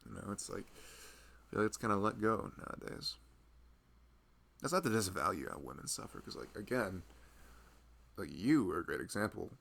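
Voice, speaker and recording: Deep, gravelly young husband, played by a man. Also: animated, a bit bright, heavily acted. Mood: thoughtful, sympathetic. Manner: rambling, halting, earnest, informal. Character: loving, chatty, earnest husband of a pregnant wife. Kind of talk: improvised ASMR roleplay, casual intimate chat.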